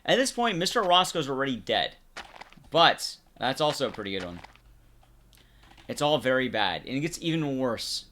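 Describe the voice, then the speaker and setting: Man, gaming commentary, lively intonation, forward bright tone, chatty, explanatory, casual. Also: medium pitch, normal speed, long pauses, a little hiss or hum. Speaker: video game commentator. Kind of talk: casual live gameplay commentary and analysis.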